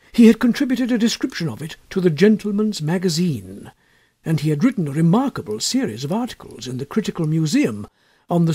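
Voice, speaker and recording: A man reading a literary story as an audiobook narrator, measured and expressive in his storytelling. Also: fairly high pitch, breathy edge. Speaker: audiobook reader.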